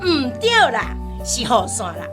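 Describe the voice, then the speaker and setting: A woman's voice: high voice, very animated, normal speed. A woman voicing a playful village woman posing a riddle to a child in a radio drama. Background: music.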